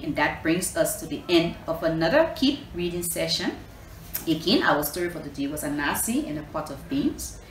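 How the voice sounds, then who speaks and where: Woman, children's story host. Very expressive, unhurried, teacherly. Roomy recording with some noise. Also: deep voice. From Liberia. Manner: repetitive, asks listeners questions, teacherly. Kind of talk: animated children's story reading and lesson. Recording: roomy echo, clear background noise.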